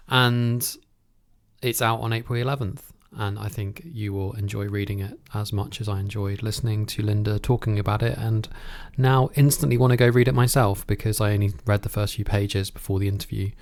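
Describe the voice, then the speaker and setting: A man hosting a conversational interview podcast, thinking aloud in a halting way. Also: fairly low pitch, steady tone, a little mellow.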